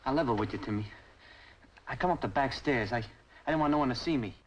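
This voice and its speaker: An actor playing a shifty, smooth-talking crook in an old crime drama, coaxing, hesitant and pleading under pressure. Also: male voice, mid-range voice, fast, muffled recording, a little hiss or hum, close to an everyday voice. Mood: uneasy.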